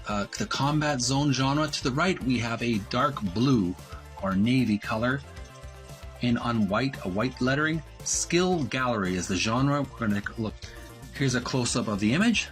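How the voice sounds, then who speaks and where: Man, video host, medium pitch, show-and-tell narration, reads aloud in short paused phrases, music behind.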